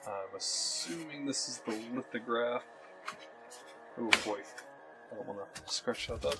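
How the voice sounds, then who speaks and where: A man giving casual unboxing commentary as host, muttering to himself as he handles things. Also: lowish voice. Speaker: host of a game unboxing video.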